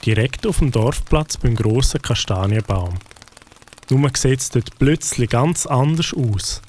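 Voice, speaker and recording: A man's voice: mid-range voice, lively intonation, slow pace, darkish tone, a little hiss or hum. A man with a Swiss German accent, reading scripted narration for a children's audio drama.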